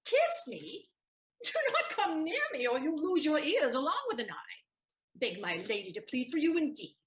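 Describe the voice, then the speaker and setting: A sharp-tongued young maid played by a woman in a stage period comedy. Her voice is high and swings widely in pitch as she speaks archly, teasing and wary. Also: sing-song, moderate pace. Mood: teasing, scolding.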